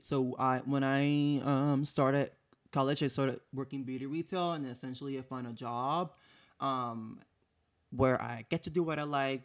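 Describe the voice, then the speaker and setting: A man in his twenties from Puerto Rico, co-hosting a podcast in playful, campy chat. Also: medium pitch. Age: 20s. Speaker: podcast co-host. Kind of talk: casual chat.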